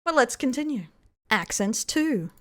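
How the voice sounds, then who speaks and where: A woman's voice: sits high, lively intonation, a little slow, a bit bright. A woman hosting a commentary video, explaining clips in a skeptical, critical way. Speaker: commentary host. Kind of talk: reaction commentary.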